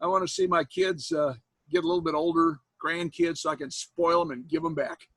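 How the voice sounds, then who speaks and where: A man's voice: on the high side, fairly even intonation, fairly quick, fairly bright tone, husky, no room sound. Folksy joking man in his sixties. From Iowa, USA. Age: 60s. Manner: folksy, joking, laughs often. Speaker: college instructor. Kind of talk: casual chat with students after an online class. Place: home, indoors, on a video call. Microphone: computer mic on a video call.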